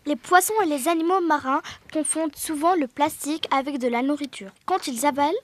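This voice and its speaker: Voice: high-pitched, fairly quick, dry recording. A child reading out scripted quiz questions and answers.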